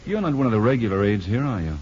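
An actor in a 1950s radio drama playing a calm, kindly hospital doctor with a measured, gently probing manner. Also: male voice, fairly low pitch. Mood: curious, probing. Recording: muffled, noisy old radio broadcast.

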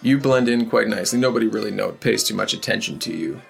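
Male game master, gravelly and rough-edged, casual halting narration. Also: lowish voice, soft background music. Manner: loose, halting narration with fillers.

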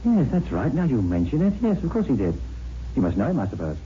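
An actor playing an earnest, modest hero of a railway ghost tale in a 1960s radio drama. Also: male voice, medium pitch, wildly swooping pitch, fast, warm tone. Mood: modest, puzzled. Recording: dull, noisy old radio recording.

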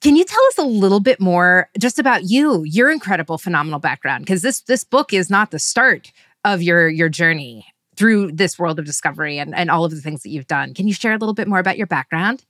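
A woman's voice: fairly low pitch, lively intonation, brisk, dry recording, studio quality. A woman hosting a podcast interview, effusive and full of praise for her guest. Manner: effusive, warmly gushing praise. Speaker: podcast host.

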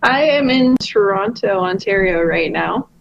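Woman pilot guest, mid pitch. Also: animated, a little slow, vocal fry, highs cut off. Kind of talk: casual interview chat.

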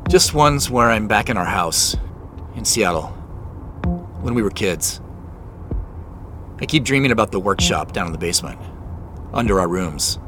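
A man's voice: on the low side, lively intonation, normal speed, long pauses, fairly bright tone, slight background noise. An actor playing a troubled, guarded man in an audio drama, reflective and earnest as he talks. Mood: subdued. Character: troubled, guarded man confiding in his sister and narrating. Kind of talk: audio drama acting and first-person narration.

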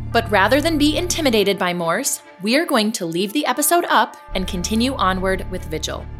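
An actress playing a determined podcast host who earnestly addresses listeners and defies a stalker in an audio drama. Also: female voice, mid-range voice, a bit bright, close to an everyday voice. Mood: apologetic, resolute.

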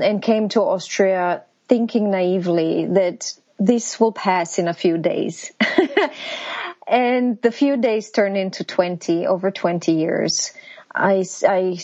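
A woman's voice: fairly low pitch, darkish tone, muffled recording. A middle-aged woman from Croatia, speaking earnestly as an interview guest with frequent restarts. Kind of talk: interview conversation.

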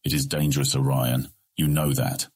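An actor playing a scheming, politely persuasive male merchant guildmaster in a fantasy audiobook, formal and measured in manner. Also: extremely low pitch, flat delivery, a little mellow, breathy edge. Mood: patient, cautioning. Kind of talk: full-cast fantasy audiobook acting.

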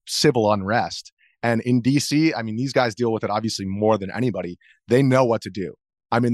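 An American man speaking as an interview guest, explaining things in a measured, conversational way. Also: lowish voice, no echo, clean studio sound. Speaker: interview guest, video journalist.

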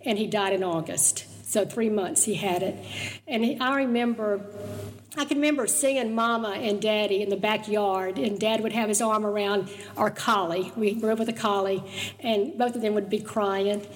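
An older woman, from Mississippi, telling her life story in a chatty, storytelling way, her voice slightly raspy. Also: medium pitch, steady tone, moderate pace, long reverb tail. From Mississippi, US South. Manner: chatty, storytelling, laughs easily. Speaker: guest speaker sharing her testimony. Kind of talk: personal testimony talk.

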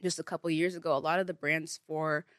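A woman's voice: sits low, flat delivery, fast, a bit bright, no room sound. A young woman from Nigeria whose first language is Igbo, chatting casually as a guest on a studio podcast, full of "like" and "um" fillers. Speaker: guest, beauty brand founder. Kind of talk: casual interview. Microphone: studio mic.

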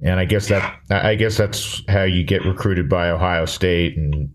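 A man's voice: low voice, steady tone, a little slow. A man interviewing casually about sports, working his way to the point in rambling, roundabout sentences.

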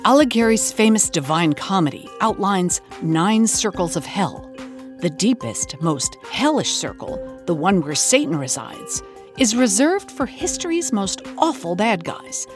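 Woman, scripted narration, dry humor, expressive pitch movement, music behind. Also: unhurried. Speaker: show narrator.

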